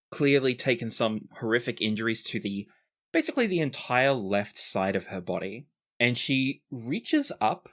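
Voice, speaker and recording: A man running a tabletop role-playing game, describing the scene casually with filler pauses. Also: mid-range voice. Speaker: game master.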